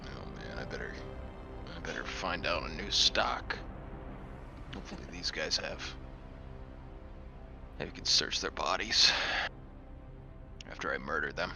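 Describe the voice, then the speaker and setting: A man's voice: rumbling low, animated, a little slow, pauses often. A man talking casually as a player in a tabletop roleplaying game. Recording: clear background music under the voice.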